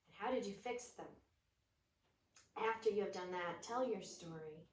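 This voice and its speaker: A woman reading a children's book aloud with expressive, storytelling delivery. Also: mid-range voice, moderate pace. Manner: expressive, drops to whispers at quiet moments. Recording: roomy echo, distant mic, faint noise.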